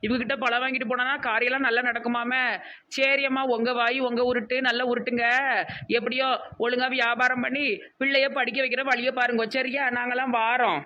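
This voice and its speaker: Man doing a high-pitched, teasing cartoon neighbour. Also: little pitch movement, fairly quick, highs cut off. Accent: southern Tamil Nadu. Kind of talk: comic cartoon dialogue in colloquial dialect.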